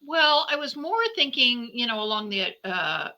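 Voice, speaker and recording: A woman's voice: fairly high pitch, lively intonation, moderate pace, bright, forceful tone, a little hiss or hum. A woman speaking conversationally as an interview guest, reflective and feeling her way through phrases with self-corrections. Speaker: guest novelist and podcaster.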